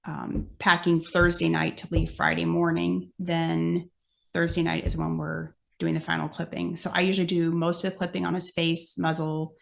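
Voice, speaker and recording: A woman's voice: on the low side, monotone, normal speed, gravelly. An American woman in a casual podcast Q&A, explaining things in a practical way and thinking aloud as she goes. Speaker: podcast guest host, amateur horse show exhibitor.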